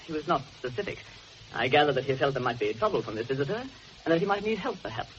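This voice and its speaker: An actor playing a reserved, dutiful secretary in a radio drama, answering in careful, formal, exact sentences. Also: male voice, a little high, lively intonation, fast, a bit bright. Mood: reserved, precise. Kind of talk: full-cast radio mystery drama acting. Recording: muffled noisy old radio recording.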